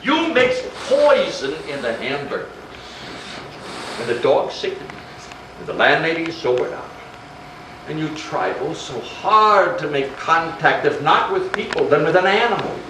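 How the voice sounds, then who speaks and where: A man's voice: fairly high pitch, big pitch swings, unhurried. An actor playing a sarcastic, menacing married middle-class man in a stage play, needling in a theatrical manner. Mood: intense, accusing. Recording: echoey, distant, noisy live stage recording.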